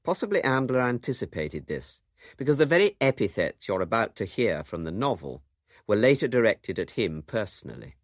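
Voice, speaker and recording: Male narrator, low pitch, lively intonation, scripted radio documentary delivery, dry studio sound. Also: moderate pace, dry recording.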